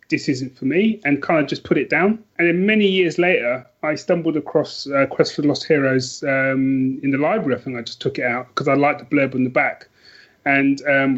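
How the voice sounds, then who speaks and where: A man's voice: on the high side, dull audio. A man chatting casually as an interview guest, self-deprecating and prone to rambling asides. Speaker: podcast guest, author.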